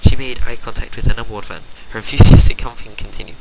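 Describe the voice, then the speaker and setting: Guy doing a dramatic story reading, mid-pitched voice, theatrical, noisy homemade recording. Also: steady tone, fast, creaky. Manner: theatrical, swinging between hushed and forceful. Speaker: reader of fan fiction. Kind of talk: dramatic storybook-style narration. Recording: noisy amateur home recording.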